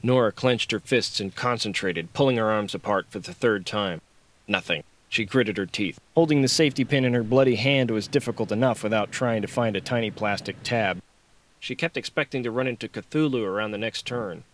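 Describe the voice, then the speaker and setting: A man's voice: fairly low pitch, brisk, no room sound. A man narrating a novel as an audiobook, reading scripted fiction on a close microphone.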